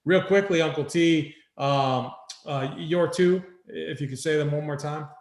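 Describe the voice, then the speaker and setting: Higher-voiced man talking sports picks, roomy sound. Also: a little slow. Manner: informal, conversational. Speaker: host of a fantasy golf picks show. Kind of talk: casual sports betting talk. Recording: roomy echo.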